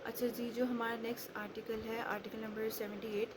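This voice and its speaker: A woman's voice: on the high side, little pitch movement, brisk. A woman giving an informal law lecture, explaining step by step with everyday examples and mixing English legal terms into her speech. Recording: clearly noisy, background ambience.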